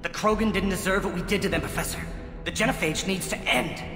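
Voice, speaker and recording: A defiant alien researcher in a sci-fi game, mid-pitched for a male voice and full of righteous accusation. He argues earnestly in a reverberant hall, with music clearly playing behind him. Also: fast talker. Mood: bitter, defiant. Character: defiant male alien scientist, idealistic, self-righteous former student. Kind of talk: video game cutscene acting.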